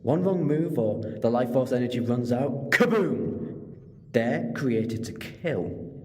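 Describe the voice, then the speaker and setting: A man's voice: mid-range voice, lively intonation. A man playing a clever, chatty alien time traveller in an audio drama, explaining things conversationally with moral earnestness. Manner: expository, conversational, quick to digress. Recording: long reverberant hall.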